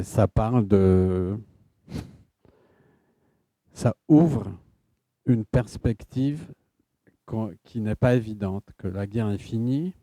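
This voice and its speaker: A man with a Polish accent, an author answering audience questions in a halting way, often stopping to search for words. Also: lowish voice, warm tone, no room sound. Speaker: guest author.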